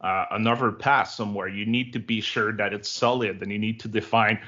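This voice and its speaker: A man's voice: fairly low pitch, fairly even intonation, brisk, sharp tone. A man in his forties from Quebec, a native French speaker with a Québécois French accent, chatting as an interview guest in a friendly, talkative way full of fillers. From Quebec, Canada. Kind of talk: casual interview chat. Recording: lo-fi online call audio.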